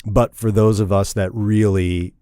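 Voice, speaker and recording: A man's voice: on the low side, level tone, unhurried, mellow, smooth, dry recording, studio quality. A middle-aged man from Indiana, talking casually as a podcast guest, rambling and repeating and correcting himself. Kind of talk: casual interview.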